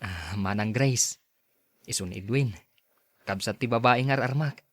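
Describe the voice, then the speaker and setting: A man's voice: mid-range voice, unhurried, no room sound, clean studio sound, natural voice. A man with an Ilocano accent acting a meek, deferential young suitor in a radio drama. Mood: respectful. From Philippines.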